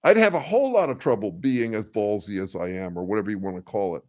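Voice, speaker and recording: An older man hosting a casual livestream, thinking aloud with many ums, uhs and restarts as he answers viewers, and describing his own voice as a bass. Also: lively intonation. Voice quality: says he has a bass voice. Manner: thoughtful, halting, full of ums and restarts. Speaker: host answering viewer comments.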